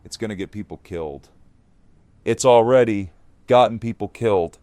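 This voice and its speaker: An actor playing a defiant, intense survivor leader, speaking with confrontational force in an audio drama. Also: male voice, on the low side, normal speed, warm tone, dry recording, natural voice. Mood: angry, defiant.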